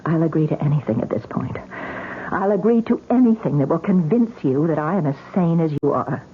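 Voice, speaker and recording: An actress playing a stubborn, exasperated young newlywed wife in a radio drama. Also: female voice, deep voice, expressive, brisk. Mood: determined, pleading. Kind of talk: 1970s radio drama acting. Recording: tinny, noisy old radio broadcast.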